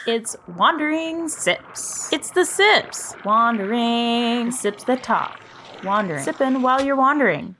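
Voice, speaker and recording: A woman putting on a goofy, drawn-out voice to play with a pun in podcast banter. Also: a little high, lively intonation, a bit bright, slight background noise. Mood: playful. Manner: slow, drawn-out, sing-song.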